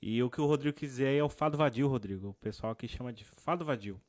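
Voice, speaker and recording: A man's voice: medium pitch, fast, a little mellow, no room sound, clean studio sound. A man with a Brazilian Portuguese accent, co-hosting an interview and giving his opinions frankly in casual chat. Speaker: co-host.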